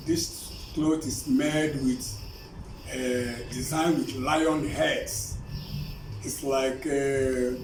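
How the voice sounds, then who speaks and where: A man from eastern Nigeria with an Igbo Nigerian accent explains things as an interview guest, using repetitive, emphatic phrasing. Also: on the high side. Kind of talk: informal explanatory interview answers. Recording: roomy, distant, clearly noisy recording.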